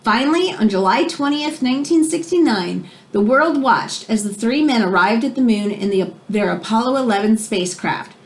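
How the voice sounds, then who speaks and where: A woman reading a children's book aloud in an expressive way, as if explaining it to young listeners. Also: fairly high pitch, normal speed, few pauses. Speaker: read-aloud storyteller.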